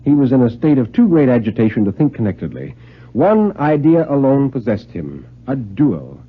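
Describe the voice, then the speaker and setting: Theatrical male radio storyteller with swooping pitch. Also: big pitch swings, moderate pace, slightly rough. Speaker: narrator and dramatic reader. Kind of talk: 1950s radio dramatic reading of a thriller story. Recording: muffled, band-limited 1950s radio recording.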